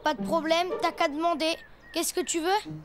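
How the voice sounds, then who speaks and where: A small cartoon elephant boy, very high-pitched. He is eager to please and easily daunted. Loud music runs behind the voice. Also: steady tone, normal speed. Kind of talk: French children's cartoon dialogue.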